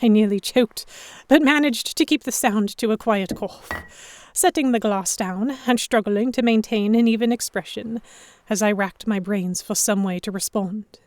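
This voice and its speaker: A guarded, anxious young noblewoman, played by a woman, tells her own story in an audio drama. Her narration is literary and measured, at a medium pitch, recorded very dry. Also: a little mellow, no echo. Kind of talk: first-person dramatic narration, historical romance audio drama.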